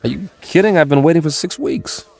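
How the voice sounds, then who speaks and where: A man's voice: on the high side, fairly quick, creaky. An actor playing a breezy, eager male traveler in a radio drama, stammering and repeating words. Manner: stammering, repetitive, animated.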